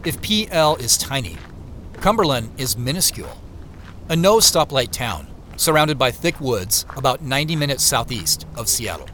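A man with an American accent playing a reflective, wry solitary traveler who narrates an audio drama in the first person, with measured storytelling and muttered casual asides. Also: medium pitch, very animated, pushed and bright, slight background noise, poor audio. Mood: reflective, observant. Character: male solitary traveler, reflective, wryly talking to himself. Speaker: narrator and lead character. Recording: music behind the voice.

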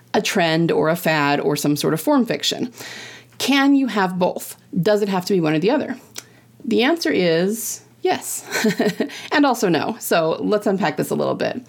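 A woman's voice: lowish voice, vocal fry, a little mellow. A woman hosting a solo podcast on fiction writing, talking in a chatty, encouraging way straight to her listeners.

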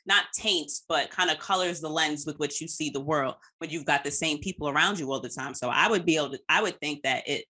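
A woman's voice: low voice, fairly quick, few pauses, bright, forceful tone, husky. A woman hosting a casual interview podcast, affirming her guest and thinking aloud in long reflections.

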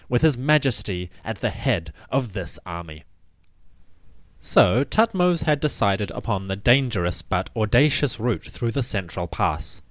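A man's voice: mid-range voice, no echo. A man narrating scripted history as a dramatic, stately and emphatic storyteller. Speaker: host and narrator.

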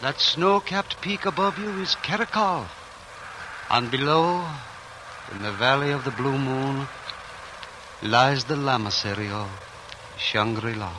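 An actor playing a calm, courteous and evasive male envoy from a mountain monastery in a 1940s radio drama. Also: medium pitch, unhurried, long pauses, close to an everyday voice. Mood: serene. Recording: noisy old radio broadcast.

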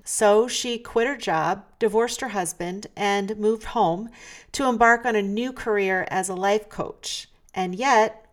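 A woman who hosts a podcast and works as a coach, reading a prepared introduction and then asking her guest questions. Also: medium pitch. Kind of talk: interview podcast.

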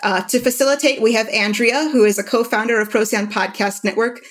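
A woman's voice: fairly high pitch, fairly even intonation, brisk. A woman hosting a podcast, introducing interview guests in a conversational, prepared way. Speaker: podcast host and writer.

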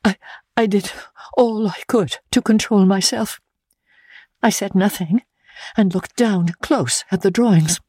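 A woman voicing a frightened, gentle young wife who pleads in distress, in a dramatic reading of a classic novel. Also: medium pitch, unhurried, pauses often, breathy edge, no echo, studio quality. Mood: anxious, wounded.

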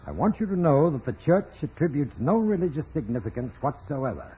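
Authoritative, animated priest. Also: male voice, on the high side, a little fast, breathy edge, full character voice. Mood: firm, dismissive. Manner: firm, dismissive, formal. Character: gruff, authoritative, shrewd male parish dean. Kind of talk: 1940s radio acting. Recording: dull, noisy vintage radio.

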